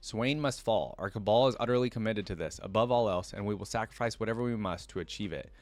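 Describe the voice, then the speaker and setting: A man voicing a cunning, manipulative female sorceress in a calm, measured reading. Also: lowish voice, no room sound, natural voice. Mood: scheming.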